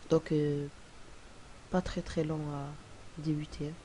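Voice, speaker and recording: Man with a cold, mellow voice. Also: fairly high pitch, level tone, takes it slowly. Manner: rambling, hesitant, many fillers and restarts. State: has a cold. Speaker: tutorial presenter. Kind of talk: casual screen-recording tutorial. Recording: faint hiss on home recording.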